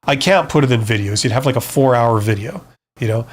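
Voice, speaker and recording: A man's voice: mid-range voice, animated, brisk, breathy edge. Frank, conversational male advice streamer. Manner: conversational, frank, often checks in with "right?" and "you know?". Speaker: live stream host, career advisor and former CEO. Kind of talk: casual live stream advice, answering viewer comments.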